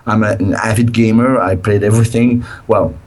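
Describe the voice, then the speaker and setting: A middle-aged man, a game developer sounding tired and a bit hoarse, speaking hesitantly with many fillers. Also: lowish voice, fairly even intonation, normal speed, a little mellow. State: tired, nearly lost his voice. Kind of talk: casual interview over a call.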